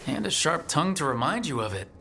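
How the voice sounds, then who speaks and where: An actor playing a steady, supportive adventurer and team leader in a video game, calm and wry. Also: male voice, on the high side, quick pace, gravelly, background noise. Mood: reassuring.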